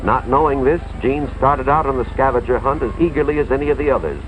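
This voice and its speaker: An American man playing an earnest, authoritative school official, narrating a cautionary tale in a deliberate, moralizing 1950s educational film style, with dramatic emphasis. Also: medium pitch, close to an everyday voice. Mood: sympathetic, grave. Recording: tinny, band-limited 1950s film soundtrack, music behind.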